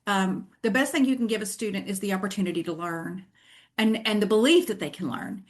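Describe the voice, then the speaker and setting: This is a middle-aged woman with a Southern American accent, speaking as a guest in a relaxed interview. She tells her story in an earnest, reflective way. Her voice sits at an ordinary, middle pitch for a woman. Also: brisk. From Tennessee. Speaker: guest author. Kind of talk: casual interview chat.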